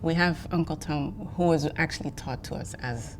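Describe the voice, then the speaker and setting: A woman in her forties from Belgium, speaking reflectively as an interview guest. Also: normal speed. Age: 40s. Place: seated in empty theatre auditorium. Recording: faint background rumble.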